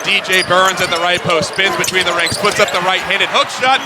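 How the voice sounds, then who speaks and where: High-voiced young man calling basketball. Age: young adult. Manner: rapid, rising excitement on big plays. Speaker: play-by-play announcer. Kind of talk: radio basketball play-by-play. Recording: loud arena noise behind.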